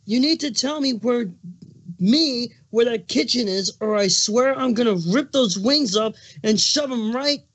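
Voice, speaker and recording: A man's voice: very high-pitched, measured pace, a bit bright, exaggerated voice. A man voicing a moody, snappish pregnant male changeling in a cartoon fan fiction reading. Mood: irritated, demanding. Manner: snappish, touchy, sarcastic. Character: irritable, moody pregnant male changeling creature with big appetite.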